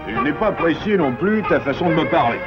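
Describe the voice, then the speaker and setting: Man voicing an animated father beaver, lively pitch. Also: fast, close to an everyday voice. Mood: tender, reproachful. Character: caring father beaver, firm but loving. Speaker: voice actor. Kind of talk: French cartoon dub acting. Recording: dull lo-fi cartoon soundtrack, music behind.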